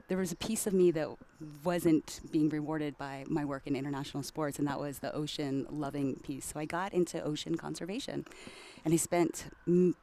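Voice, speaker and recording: Mellow-voiced woman from Hawaii. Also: low voice, a little hiss or hum. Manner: fluent, upbeat explainer. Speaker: campaign ambassador. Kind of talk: interview answers. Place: outdoors at a trade show.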